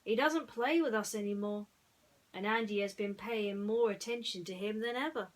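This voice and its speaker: A woman's voice: medium pitch, normal speed, natural voice. Storybook toy cowboy played by a woman: male, friendly, concerned for his pal, lifted and emphatic delivery.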